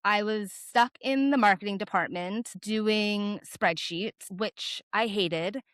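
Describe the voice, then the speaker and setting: A woman in her forties with a naturally loud voice, frankly telling a personal story on her vlog with lots of casual fillers. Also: mid-range voice, slow pace, dry recording, studio quality. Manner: chatty, frank, many "like" fillers. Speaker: vlogger.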